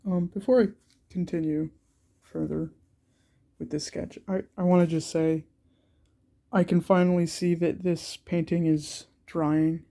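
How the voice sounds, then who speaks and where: Young man narrating an art vlog while stuffed up from a cold; slow, gravelly, mellow delivery, halting and unsure. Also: a little high, flat delivery. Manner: hesitant, many ums and repeated words. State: congested from a cold. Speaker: art channel creator.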